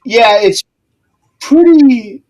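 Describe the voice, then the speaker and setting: A man co-hosting a casual talk show, making slow, unhurried remarks. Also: extremely high pitch, animated, darkish tone, rough recording. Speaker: co-host. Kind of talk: casual chat.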